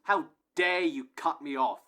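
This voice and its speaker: A man's voice: a little high, unhurried, heavy background noise, natural voice. A man playing a stubborn, impatient airport security guard in an audio play, curt and demanding. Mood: impatient, annoyed. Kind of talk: amateur audio play acting.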